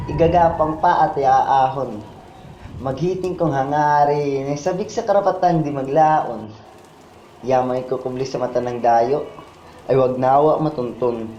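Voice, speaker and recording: A man's voice: a little high, mellow. A man with a Filipino accent reciting spoken word poetry in a solemn, impassioned, declamatory manner. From Philippines. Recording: muffled, echoey, with background noise.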